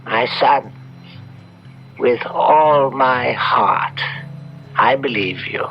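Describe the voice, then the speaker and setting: Paternal, credulous nobleman; unhurried, formal radio-drama delivery on a dull old recording. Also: medium pitch. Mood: moved. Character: credulous, kindly Italian marquis, future father-in-law. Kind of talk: 1970s radio drama acting. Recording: dull 1970s radio recording, faint music.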